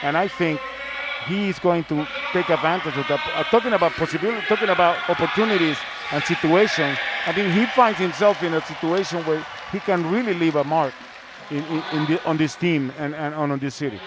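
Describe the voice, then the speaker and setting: A man with a high-pitched voice hosts a casual sports panel. His phrasing is deliberate and halting, and a noisy arena surrounds him. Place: large indoor arena. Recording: noisy arena ambience behind.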